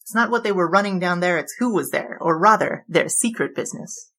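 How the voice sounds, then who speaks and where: A woman's voice: on the low side, brisk, a bit bright. A woman playing a glib, flirtatious bandit who confesses and argues in a comedic noir audio drama. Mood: glib, argumentative. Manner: glib, digressive, argumentative. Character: brash, chatty, flirtatious female bandit king confessing her crimes. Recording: music bed under the voice.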